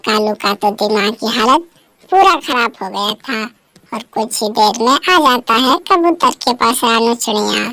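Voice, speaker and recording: A woman's voice: a little high, expressive, unhurried, pushed and bright, dry recording. A woman narrating a scripted children's cartoon story about animals.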